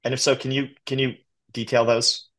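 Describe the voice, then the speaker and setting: A man moderating a fireside chat, working his way haltingly through long, wordy questions. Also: medium pitch, level tone, fairly bright tone. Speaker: interview host, moderator.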